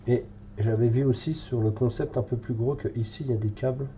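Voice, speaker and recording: A man's voice: mid-range voice, fairly even intonation, brisk, warm tone, dull audio, slight background noise. A French man with a cold, thinking aloud with many fillers as he paints live in a hobby tutorial. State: has a cold, congested.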